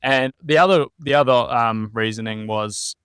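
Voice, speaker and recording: A young man with a New Zealand accent, a podcast guest telling his travel story in a relaxed, meandering way with frequent ums. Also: mid-range voice, moderate pace, clear, resonant tone, no room sound, studio quality. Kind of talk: casual interview chat.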